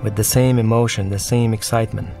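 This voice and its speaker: A man, a film director, giving commentary on his film in a halting way, searching for words. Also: on the low side, fairly even intonation, music in the background.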